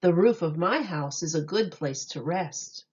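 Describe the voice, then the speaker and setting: Woman reading to children, slow and very expressive. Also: fairly low pitch, highs cut off. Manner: sing-song, expressive, clearly phrased for young children. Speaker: teacher reading a picture book aloud. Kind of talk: read-aloud of a rhyming children's story.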